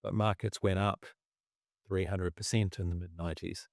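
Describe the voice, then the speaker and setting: A man, losing his voice, talking through markets in a casual, halting way and clearing his throat often. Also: low voice, fairly even intonation, a little mellow, dry recording, clean studio sound. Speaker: investment commentator.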